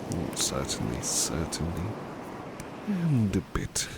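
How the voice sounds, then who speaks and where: Devoted butler voiced by a man for ASMR roleplay: low, creaky, tender and courteous, soft murmurs slipping into whispers. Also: wildly swooping pitch, takes it slowly, heavily acted. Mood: soothing, caring. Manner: gentle, soothing, courteous, murmured repetitions, often dropping to whispers. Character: devoted, tender, polite male butler servant. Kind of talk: soft-spoken ASMR personal attention roleplay.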